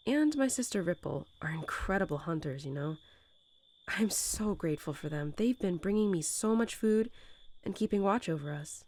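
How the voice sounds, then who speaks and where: A woman voicing a gentle, nurturing mother wolf who talks softly and unhurriedly to her newborn pups in a bedtime roleplay audio. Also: on the low side, no echo. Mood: fond, content. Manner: tender, unhurried, lulling storytelling.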